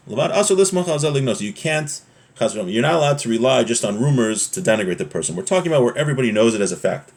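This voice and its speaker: A man with a mid-pitched voice teaches a religious class. He explains texts informally, in a conversational manner, and checks that the point is following as he goes. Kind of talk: informal religious lesson, reading Hebrew texts and explaining them.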